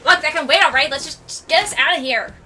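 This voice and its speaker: A woman's voice: high voice, quick pace, sharp tone, a little hiss or hum, voice pushed up. A woman voicing a brash, impatient teenage boy in a story, blunt and cheeky. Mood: urgent.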